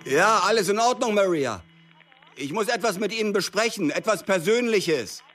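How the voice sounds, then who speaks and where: An actor playing an earnest, protective man in radio drama dialogue, speaking in a halting way. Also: high-pitched, animated, music in the background. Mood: careful, earnest.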